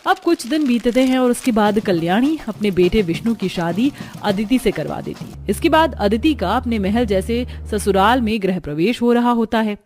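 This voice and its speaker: A woman's voice: on the high side, lively intonation, moderate pace, few pauses, darkish tone. A woman narrating a cartoon family story from a script.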